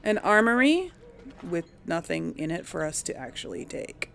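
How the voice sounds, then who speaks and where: A woman's voice: lowish voice, sing-song, moderate pace. A woman giving casual let's play commentary, hesitantly thinking aloud as she goes. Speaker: gaming video host and player.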